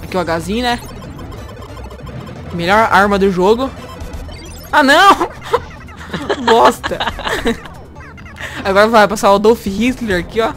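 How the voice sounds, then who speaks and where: A young man with a Brazilian accent, casually commentating a video game, joking, excitable and swearing a lot. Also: sits high, takes it slowly, long pauses. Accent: Brazilian Portuguese. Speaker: host. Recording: game music behind.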